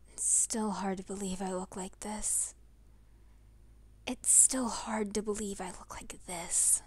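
A woman voicing a sad, lost young wolf in a soft, breathy, halting near-whisper for a comic dub. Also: medium pitch, pitched below the performer's own voice. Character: sad, wistful young wolf far from home.

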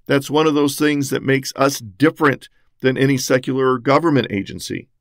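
A man's voice: mid-range voice, no room sound, clean studio sound. Methodical man teaching from a script. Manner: measured, methodical, lists points one by one. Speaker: podcast host on church missions.

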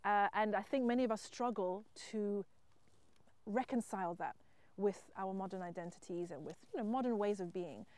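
A woman's voice: medium pitch, moderate pace, a little mellow, dry recording. A middle-aged woman from Zimbabwe, a writer and entrepreneur, speaking earnestly and reflectively about her life in a personal interview. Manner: earnest, reflective, emphatic at times. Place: wheat field outdoors.

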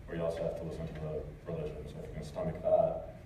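A low-pitched man fields audience questions in a loose, chatty way, full of fillers and restarts. He is picked up from across a big, reverberant lecture hall, with steady background noise under his voice. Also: racing. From United States. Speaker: lecturer and translator. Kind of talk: casual Q&A after a talk. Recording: echoey hall, distant mic, noisy.

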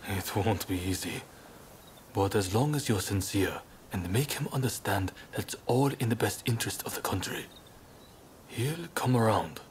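An actor playing a serious, pragmatic samurai clan leader in a video game cutscene, with a measured, deliberate delivery. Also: male voice, on the low side, expressive, slight background noise. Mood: explanatory.

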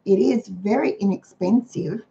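Hoarse older Australian woman chatting. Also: normal speed, mellow. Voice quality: chatty, homely manner. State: hoarse, croaky voice. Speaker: host of a frugal living livestream. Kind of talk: casual livestream talk. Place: home dining room. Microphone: webcam on a computer. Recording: dull low-fi webcam sound with faint noise.

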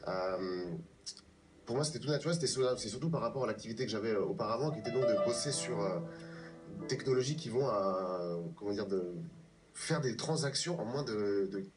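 Male interview guest, 30s, low register, halting explanations, picked up from a distance. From France, born in Paris. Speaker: guest, pianist and composer. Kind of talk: live TV interview. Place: TV news studio, replayed from a television. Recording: distant, off a TV screen, faint music.

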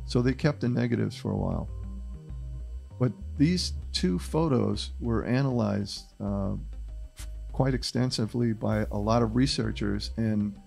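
A middle-aged man with a gravelly, fry-edged voice hosts a casual studio chat. He explains things step by step and often repeats phrases. A faint music bed sits underneath. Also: fairly low pitch, a little slow, a little mellow. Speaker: podcast host presenting a case. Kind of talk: casual chat, walking through photos. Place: small studio with red backdrop. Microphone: studio mic on boom arm, headphones.

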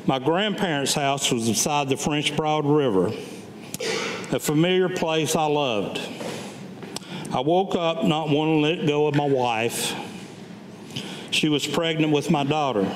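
An older man, around seventy, reading his own written memoir aloud at a staged reading in a measured, deliberate way. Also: a little high. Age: older, about 70. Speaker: Vietnam veteran reading his own writing. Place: public meeting room with audience, indoors. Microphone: gooseneck lectern microphone. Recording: echoey hall, background room noise.

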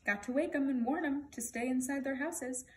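A woman's voice: high voice, moderate pace, a little hiss or hum, close to an everyday voice. Woman playing an urgent young page boy. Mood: urgent warning. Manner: urgent, exclamatory.

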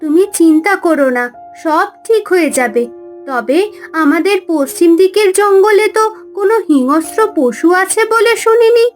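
A woman playing a gentle, caring young mermaid in a cartoon fairy tale, soothing and concerned. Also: very high-pitched, lively intonation, moderate pace, soft background music.

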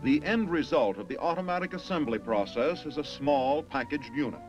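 An American man narrates in the formal style of a 1950s military documentary. His voice sits a little high and he phrases each line with measured emphasis. The sound is a dull old film track, with music clearly playing behind him. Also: expressive.

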